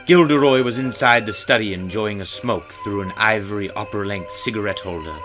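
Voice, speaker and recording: A man narrating a scripted story in a theatrical manner, with ornate, measured phrasing. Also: lowish voice.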